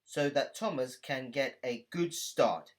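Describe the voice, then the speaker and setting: A woman voicing a hearty, practical male train driver in a children's storybook, brisk and plain-spoken. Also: rumbling low. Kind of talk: children's storybook read-aloud.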